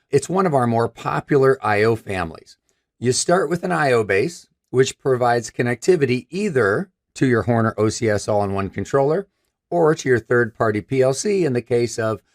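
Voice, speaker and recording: A man in his fifties from Michigan with a scratchy voice, hosting a technical live stream in an upbeat, chatty way. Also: medium pitch. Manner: upbeat, chatty, gestures while talking. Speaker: host. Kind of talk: live stream product presentation. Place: room with a brick wall backdrop.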